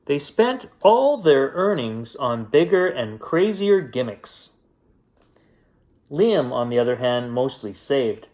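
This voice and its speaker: A man with a mid-pitched voice reads a children's story aloud. His pace is slow and unhurried, and he uses deliberate pauses for drama in an expressive bedtime-story style. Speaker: storyteller reading a children's picture book.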